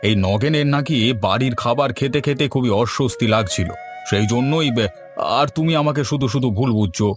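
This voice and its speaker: Cartoon: man as hearty biryani seller, mid-pitched, music bed. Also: fairly quick, natural voice. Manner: hearty, hawking, eager to please. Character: cheerful village biryani shop owner, husband. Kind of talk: Bengali cartoon voice acting. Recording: background music.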